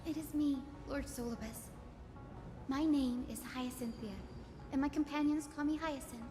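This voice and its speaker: Woman as a high-voiced, resolute young healer. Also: moderate pace, lightly acted. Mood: earnest, resolute. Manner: earnest, respectful, formal. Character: gentle, resolute young healer girl, noble descendant. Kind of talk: dramatic video game dialogue. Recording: echoing hall effect with music.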